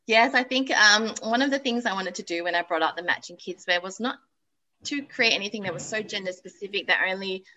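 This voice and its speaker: A woman's voice: medium pitch, fairly quick, bright, forceful tone, poor audio. A woman in her thirties from Malaysia, chatting as an interview guest about her business and family, laughing easily with frequent ums. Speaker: guest, activewear business founder. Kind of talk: podcast interview chat.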